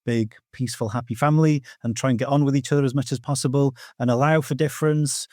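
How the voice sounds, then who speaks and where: A man's voice: mid-range voice, steady tone, fast, mellow, no echo, studio quality. A middle-aged man from Wales with a Welsh accent, a native Welsh speaker, answering as an interview guest in a thoughtful, earnest way with many "you know" asides. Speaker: guest teacher of Welsh myth. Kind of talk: reflective podcast interview answers.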